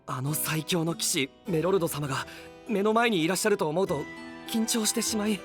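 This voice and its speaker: A man's voice: sits high, fairly quick, faint music behind, lightly acted. A male actor playing a young attendant in an anime audio drama, stiffly polite, deferential and easily flustered. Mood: flustered, awed.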